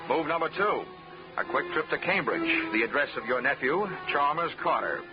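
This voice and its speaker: An actor playing a wisecracking insurance investigator in a 1940s radio drama, narrating and talking with a dry, wry manner. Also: male voice, a little high, lively intonation, normal speed, close to an everyday voice. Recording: muffled old radio broadcast with music.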